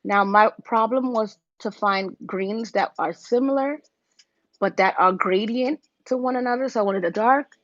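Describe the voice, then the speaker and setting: Woman, raspy voice, chatty makeup tutorial, livestream, dry, muffled. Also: fairly high pitch, moderate pace, a bit bright, no room sound. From Virginia, US. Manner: chatty, talks viewers through each step. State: raspy, deeper voice than usual.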